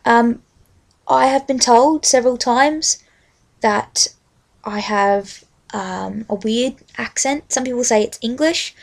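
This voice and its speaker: Young woman, Australian accent, strong vocal fry, halting delivery, amateur vlogger. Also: a little high. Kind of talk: casual rambling chat with a read-aloud book passage.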